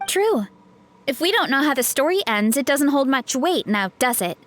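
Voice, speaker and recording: Skeptical young adventurer. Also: female voice, high voice, sing-song, moderate pace, a bit bright, slight background noise. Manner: reasoning, skeptical. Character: young woman adventurer, thoughtful and reasonable. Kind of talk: game voice acting.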